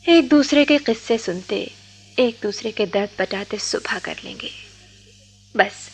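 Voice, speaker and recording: A woman's voice: on the high side, unhurried, a little hiss or hum. A woman acting a playful, witty young friend in a radio drama, with a teasing, theatrical, poetic way of talking. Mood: tender, consoling. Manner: teasing, verse-quoting, theatrical.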